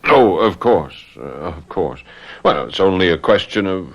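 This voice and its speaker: Young detective fiancé, low voice, animated swings, earnest, hesitant, slightly slow-witted, radio drama. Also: male voice, dull audio, heavily acted. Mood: musing, unsure.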